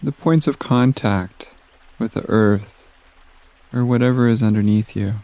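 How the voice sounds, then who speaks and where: A man leading a guided meditation in a gentle, soothing manner, giving soft instructions with long pauses. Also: mid-range voice, no room sound.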